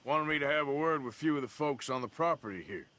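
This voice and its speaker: A gruff Western drifter for a game, with a rural American accent. His tone is forceful and edgy, moving widely in pitch, and his delivery stays dry and laconic. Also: lively intonation, quick pace. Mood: polite, guarded. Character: gruff, wry drifter outlaw in his thirties. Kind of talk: dramatic video game dialogue.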